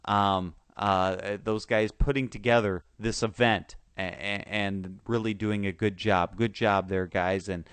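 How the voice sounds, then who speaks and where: A man hosting a casual football talk show, his voice sore and a bit hoarse, stumbling through restarts and fillers. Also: fairly low pitch, fairly even intonation, fairly bright tone, dry recording. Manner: halting, many restarts and fillers. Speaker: sports podcast host.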